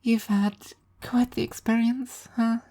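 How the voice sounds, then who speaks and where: Woman voicing a high-voiced, wry young woman. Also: level tone, slow pace, warm tone. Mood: teasing. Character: teasing, caring young woman, loyal friend.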